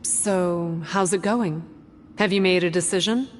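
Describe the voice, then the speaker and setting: A woman's voice: fairly low pitch, a little slow, noisy background, natural voice. A woman playing a composed, mysterious shrine maiden in a video game, speaking in a matter-of-fact way. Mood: calm, businesslike.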